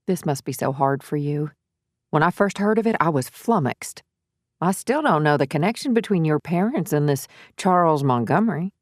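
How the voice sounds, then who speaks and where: Low-voiced, soothing woman lawyer. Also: lively intonation, no echo, studio quality. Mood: concerned. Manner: measured, reassuring. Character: earnest, soothing female defense lawyer. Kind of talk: dramatic audiobook character dialogue.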